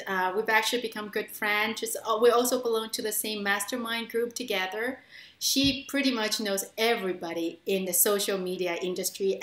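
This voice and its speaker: A woman's voice: medium pitch, fairly bright tone. A woman hosting an interview show in a conversational style, recorded with a roomy echo. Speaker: podcast interview host.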